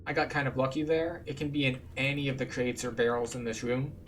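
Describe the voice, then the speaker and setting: Casual male gaming guide, middling pitch. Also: steady tone, brisk, a bit bright. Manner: informal, explanatory. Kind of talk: casual game walkthrough commentary.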